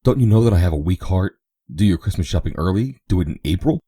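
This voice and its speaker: A man's voice: deep voice, lively intonation, quick pace, dark tone, slightly rough, dry recording, clean studio sound, pitched above the performer's own voice. A man voicing a teasing young brother who jokes in mock-dramatic banter.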